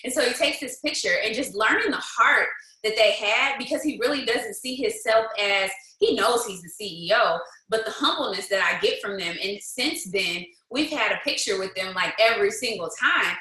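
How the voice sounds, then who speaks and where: Bright bold woman in her 30s telling a story, laughs a lot, echoey room. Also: on the high side, moderate pace. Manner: animated, laughs often, bold and emphatic. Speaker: guest, business leader. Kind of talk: casual storytelling, motivational.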